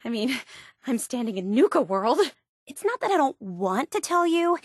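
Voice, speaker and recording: High-pitched, eager geeky superfan girl. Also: no room sound, studio quality. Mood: earnest, guarded. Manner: gushing, earnest, geeky enthusiasm. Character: eager, obsessive young woman soda superfan and tour guide. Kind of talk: video game character dialogue.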